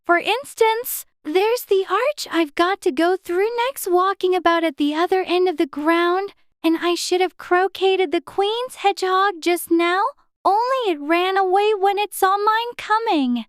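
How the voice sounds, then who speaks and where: A woman's voice: very high voice, a little slow, no room sound, clean studio sound. A woman playing a polite, plucky little girl with prim, precise diction in a dramatized storybook audiobook. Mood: complaining.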